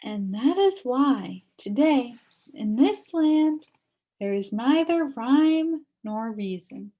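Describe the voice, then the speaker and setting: A woman reading a children's story aloud as an expressive narrator. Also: high voice, takes it slowly. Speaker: storybook reader.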